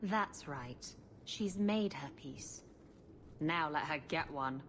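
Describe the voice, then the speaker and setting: A brazen, slow-drawling female pirate. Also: sits low, a little hiss or hum. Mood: goading. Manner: drawling, mocking. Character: brazen, taunting female pirate, flirtatious and impatient. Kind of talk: video game cutscene acting.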